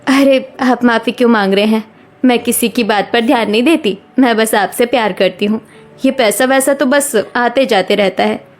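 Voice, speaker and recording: A woman voicing a loyal, loving young wife, earnest and gentle but firm, in a cartoon story. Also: fairly high pitch, moderate pace, lightly acted. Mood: tender. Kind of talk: Hindi cartoon story dialogue.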